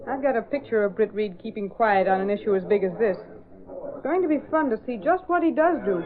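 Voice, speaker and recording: An actress playing a brash, slangy, wisecracking young newspaper secretary in an old radio drama. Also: female voice, medium pitch, lively intonation, a little fast, narrow, tinny audio, natural voice. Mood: casual, wry. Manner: pert, slangy, needling. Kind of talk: 1930s-40s radio drama acting. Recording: band-limited old radio broadcast recording.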